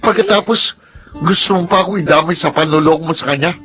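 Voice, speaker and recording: A man's voice: fairly high pitch, fast, sharp tone, faint music behind. A man acting an indignant, principled friend in a radio drama. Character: indignant, principled friend refusing to join a deceit.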